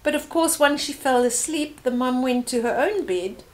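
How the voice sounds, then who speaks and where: An older woman reading a bedtime story aloud to young children, gently and with asides and questions to the listener. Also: high-pitched, moderate pace.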